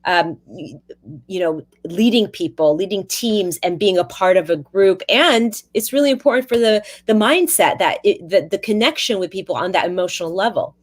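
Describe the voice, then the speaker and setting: A woman's voice: medium pitch, animated, normal speed. A woman hosting a podcast, talking casually in an enthusiastic, affirming way with frequent restarts. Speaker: podcast host. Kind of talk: casual conversational podcast talk.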